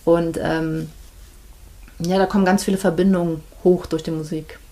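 Woman, fairly low voice, casual interview guest, thoughtful, hesitant pauses. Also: level tone, dark tone. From Germany, Hamburg. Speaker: midwife, podcast guest.

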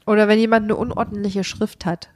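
A woman's voice: mid-range voice, little pitch movement, fairly quick, darkish tone. A young woman co-hosting a podcast with a slight German regional dialect, telling a story about herself in a giggly, self-mocking way. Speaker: podcast co-host. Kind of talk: casual chat.

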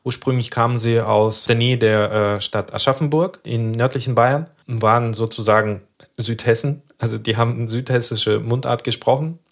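Brief: male podcast co-host, 30s, from Kazakhstan; measured explainer, frequent pauses. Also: mid-range voice, steady tone, vocal fry. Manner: measured, explanatory, many hesitation fillers.

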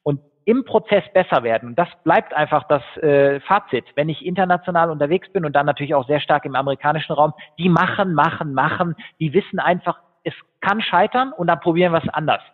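A man speaking as a guest in an interview, telling stories quickly with fillers. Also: fairly high pitch, breathy edge. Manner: fast, animated storytelling with fillers. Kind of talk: conversational interview. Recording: tinny phone-like line with long reverb.

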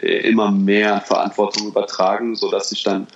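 A man's voice: on the low side, level tone, normal speed, crowd noise. A man in his forties giving a casual interview, speaking hesitantly with many fillers and restarts. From Germany. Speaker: interview guest, restaurant owner. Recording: slightly dull, faint noise.

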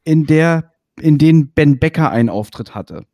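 Man, podcast host, higher pitch, dry recording, chatty detailed questions. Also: animated, normal speed, warm tone, no room sound. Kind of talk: casual interview.

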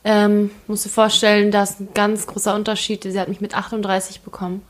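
A woman's voice: mid-range voice, flat delivery, brisk. A woman from Thailand, a guest in a home kitchen, telling stories in a frank, chatty way with fillers. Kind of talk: casual conversation.

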